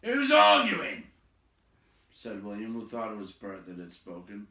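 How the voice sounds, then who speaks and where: A man reading a fantasy story aloud as an expressive storyteller. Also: on the low side, moderate pace, roomy sound.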